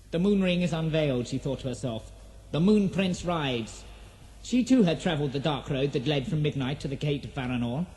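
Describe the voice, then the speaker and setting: A man's voice: on the high side, a little mellow, poor audio. A man narrating a fantasy audio drama in a solemn, theatrical storytelling manner.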